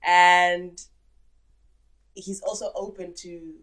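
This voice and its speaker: Lively, laughing young woman from Zimbabwe. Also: fairly low pitch, drawn-out words, a little hiss or hum, poor audio. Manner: animated, playful storyteller with bursts of laughter. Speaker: podcast guest. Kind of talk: casual chat.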